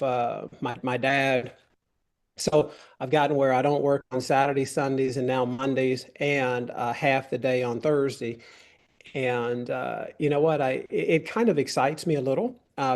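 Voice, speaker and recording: A man's voice: medium pitch, a little mellow. A man hosting an interview, a real estate agent who chats in a folksy, affirming way. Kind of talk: interview on video call.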